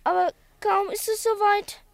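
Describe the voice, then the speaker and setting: A woman's voice: very high voice, steady tone, measured pace, creaky, full character voice. A woman playing a timid small schoolboy who is ashamed of his cowardice, in 1950s radio play acting with a plaintive, self-doubting manner. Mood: rueful. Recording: dry studio recording.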